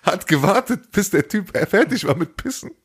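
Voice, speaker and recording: A man's voice: high voice, expressive, moderate pace, husky. A young man co-hosting a podcast in casual, slangy chat, telling stories animatedly and acting out the dialogue. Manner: slangy, acts out dialogue in anecdotes. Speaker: podcast host.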